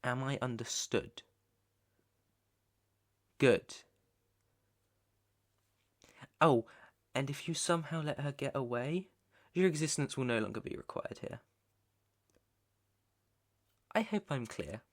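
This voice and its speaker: A British man playing a controlling, possessive young mafia heir in an ASMR roleplay, deliberate and commanding, with menace under the coaxing. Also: medium pitch, expressive, close to an everyday voice. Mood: curt, commanding. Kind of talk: ASMR roleplay, second-person dramatic acting.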